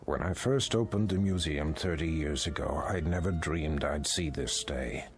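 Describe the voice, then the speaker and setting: An actor playing a kindly, weary older museum owner, halting and regretful, in an audio drama. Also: male voice, extremely low pitch, a little hiss or hum. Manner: halting, apologetic.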